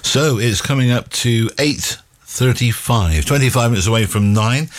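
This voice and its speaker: A man's voice: mid-range voice, moderate pace. A man hosting a morning radio show, chatting with listeners and greeting them by name. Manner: warm banter with listeners, chuckling asides. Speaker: radio breakfast host.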